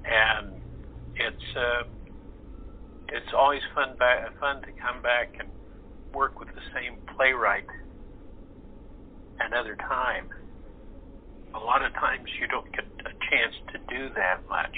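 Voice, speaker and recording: A thin telephone sound with faint noise carries this man's mid-pitched voice. He talks casually and reflectively as a guest, halting as he works through his thoughts. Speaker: voice actor, interview guest. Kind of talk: casual chat. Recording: phone-like line with faint noise.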